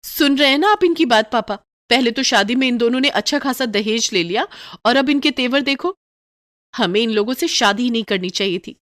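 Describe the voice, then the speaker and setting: Woman playing a haughty, high-voiced young wife. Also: animated, fairly quick, fairly bright tone. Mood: angry, whining. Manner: indignant, complaining. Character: haughty, spoiled rich young wife, quick to complain.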